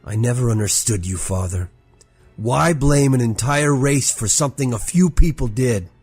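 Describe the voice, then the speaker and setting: A man playing a proud, defiant swordsman in an audio drama, husky and bitter as he challenges his elder. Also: medium pitch, lively intonation, moderate pace, darkish tone, no room sound, soft background music. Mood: challenging. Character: wounded-proud, defiant swordsman confronting his ruthless father. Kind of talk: audio fiction character acting.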